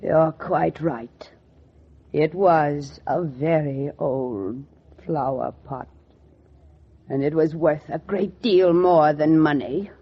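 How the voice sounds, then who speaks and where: A woman's voice: sits low, slow pace, husky, exaggerated voice. An actress playing a stern, domineering older lady in a 1940s radio drama, scolding in an imperious, theatrical way. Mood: cold, stern. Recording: dull vintage 1940s radio recording.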